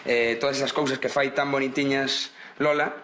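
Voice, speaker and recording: A man's voice: medium pitch, flat delivery, moderate pace, a bit bright, a little hiss or hum. A man with a Galician accent chatting casually as a local guide, wordy and often rephrasing himself. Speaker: local guide showing the city. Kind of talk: casual chat to camera.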